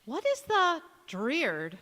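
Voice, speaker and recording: Woman acting sharp, sour cousin in echoey hall. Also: high voice, huge pitch swings, very slow, heavily acted. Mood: puzzled, critical. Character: sour, bitter, disapproving older woman cousin. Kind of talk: radio play acting on stage. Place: large hall, live stage performance. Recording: echoey hall with long reverb.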